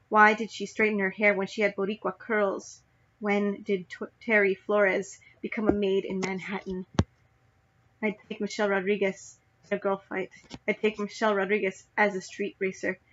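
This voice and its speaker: A young woman reciting spoken word poetry in a measured, deliberate way. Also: mid-range voice, fairly bright tone. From United States. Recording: dull lo-fi recording.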